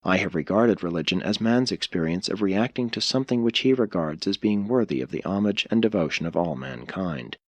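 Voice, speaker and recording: A man reading a philosophical religious audiobook in a measured, solemn, formal way. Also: low-pitched, lively intonation, no echo. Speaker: audiobook narrator. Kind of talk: scripted audiobook narration of a philosophical religious text.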